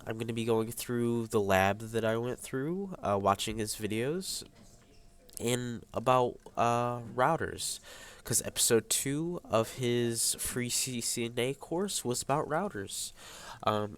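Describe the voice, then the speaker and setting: A man's voice: medium pitch, fairly bright tone, smooth. Congested young man explaining networking. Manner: hesitant, many ums and uhs. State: congested, stuffy nose. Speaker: high school student. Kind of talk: screen-recorded tutorial. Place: at a computer, voice over screen capture.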